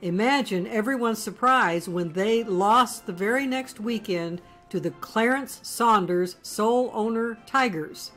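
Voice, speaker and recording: A woman giving scripted documentary narration in a measured, storytelling delivery. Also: fairly high pitch, fairly bright tone, soft background music.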